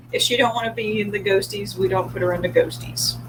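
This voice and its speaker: A young woman co-hosting a casual livestream, relaxed and agreeable, chuckling now and then. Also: medium pitch, noisy background. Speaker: co-host, host's wife.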